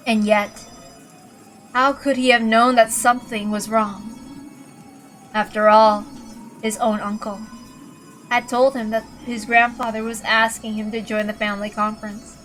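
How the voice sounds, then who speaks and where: A woman's voice: on the high side, flat delivery, measured pace, long pauses, a bit bright, poor audio. A woman reading a visual novel aloud as narrator, in a dramatic storytelling style. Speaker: narrator and reader. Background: faint background music.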